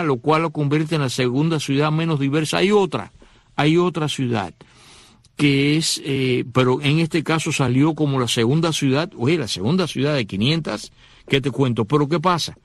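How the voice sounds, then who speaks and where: A man's voice: a little high, normal speed, no echo. A man hosting a radio talk show, talking to the listener in a conversational way, with many fillers and restarts. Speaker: radio host.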